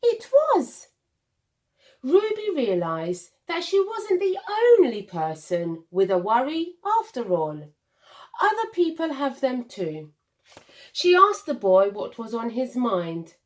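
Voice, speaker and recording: Slow, animated woman reading a children's storybook. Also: a little high. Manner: deliberate, dramatic pauses and emphasis for young listeners. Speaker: primary school teacher reading a picture book.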